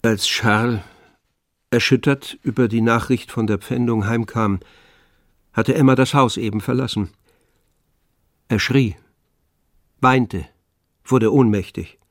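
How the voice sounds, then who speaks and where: A man narrating a literary radio play from a script in a measured, solemn manner. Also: mid-range voice, fairly even intonation, dry recording, studio quality.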